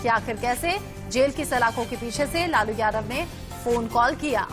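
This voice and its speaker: News anchor, female. High register, television news delivery over faint music. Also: moderate pace. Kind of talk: Hindi television news presentation.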